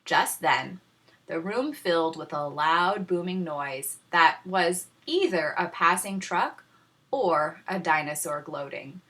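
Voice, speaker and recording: A woman teacher narrating a children's storybook in a dramatic, tongue-in-cheek way with pointed pauses. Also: fairly low pitch, lively intonation, fairly bright tone. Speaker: teacher reading aloud.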